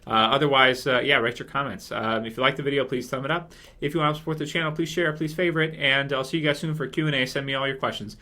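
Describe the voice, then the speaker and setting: A man's voice: mid-range voice, steady tone, fast, pushed and bright. A man hosting a casual fan commentary video, talking in a rambling way that circles back over his points. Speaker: video host.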